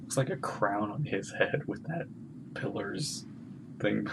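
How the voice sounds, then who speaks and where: Gravelly casual reaction streamer. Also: medium pitch, lively intonation, slow, noisy background. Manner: casual, laughing, loud outbursts. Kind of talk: live reaction commentary. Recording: voice over loud game music. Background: game music.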